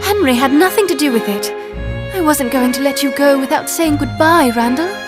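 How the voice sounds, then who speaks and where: An actress playing a worried, strong-willed teenage girl in an animated game cutscene, speaking with pleading emotion. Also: sits high, normal speed, natural voice. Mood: tender, sad. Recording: loud music behind the voice.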